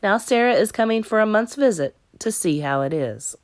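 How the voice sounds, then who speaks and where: A woman teacher narrating a children's story in an expressive read-aloud style. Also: medium pitch, normal speed.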